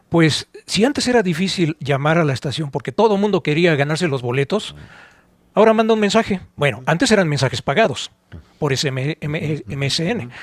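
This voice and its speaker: A man's voice: a little high, dry recording. A man co-hosting a podcast, explaining and recalling things conversationally in slightly formal wording. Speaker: podcast co-host.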